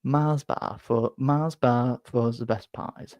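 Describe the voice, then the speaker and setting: Young Yorkshire bloke, slightly nasal, rambling live-stream chatter, mid pitch, dry close mic. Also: male voice, slow pace, no room sound. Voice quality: bit nasal, flat. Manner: rambling, self-deprecating, stumbles and repeats words. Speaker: football fan streamer reading chat. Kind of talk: casual live-stream chat.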